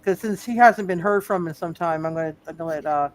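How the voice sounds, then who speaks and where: A man running a casual tabletop roleplay game as game master, halting and repeating phrases as he goes. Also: a little high, slight background noise.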